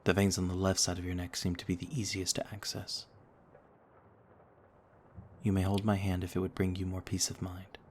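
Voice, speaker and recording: A man playing a gentle, ancient noble vampire in an ASMR roleplay, speaking in a courtly, soothing way. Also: sits low, monotone, normal speed, long pauses, a little hiss or hum, natural voice. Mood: soothing, courteous. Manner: courtly, formal, soothing, reassuring. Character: gentle noble male vampire, over a thousand years old, looks thirty-two.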